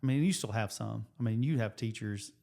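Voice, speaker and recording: A man in his forties, a high school coach and teacher, co-hosting a casual podcast and talking things through at length with many restarts. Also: fairly quick, gravelly. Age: 40s. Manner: rambling, restarts, thinks out loud with numbers. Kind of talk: casual chat.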